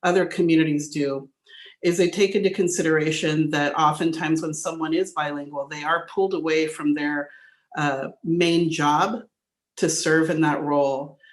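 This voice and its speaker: A woman on a city council, courteous and halting with uhs as she offers remarks. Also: sits low, fairly even intonation, roomy sound.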